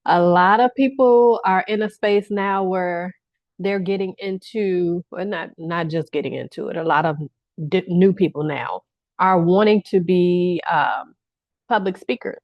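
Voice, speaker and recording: A woman's voice: lowish voice, a little slow, no room sound. A middle-aged woman business coach giving a workshop-style talk to viewers, encouraging and repeating phrases for emphasis. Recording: dull lo-fi, dry sound.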